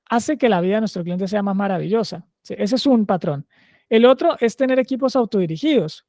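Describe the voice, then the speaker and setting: Caleño colombian guy explaining stuff on a podcast, fairly high voice, dry close sound, keeps asking if you follow. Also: a little mellow, dry recording. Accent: Caleño, Cali Colombian Spanish. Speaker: guest, agile culture consultant.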